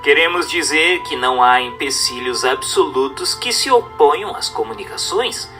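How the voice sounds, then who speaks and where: A man's voice: a little high, expressive, normal speed, bright, forceful tone, soft background music. A man with a Brazilian accent reading a book aloud as scripted narration, in a formal, didactic manner. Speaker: audiobook narrator.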